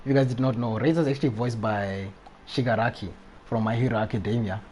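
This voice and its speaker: A man's voice: mid-range voice, fast, a little mellow, a little hiss or hum. A young man commentating casually on a live game stream, slangy, chatty and reactive.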